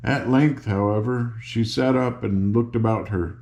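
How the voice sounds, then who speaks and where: An older man reading a children's book aloud in an unhurried, informal, grandfatherly way, with a gravelly voice and casual asides. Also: mid-range voice, a little mellow. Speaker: storybook reader and host.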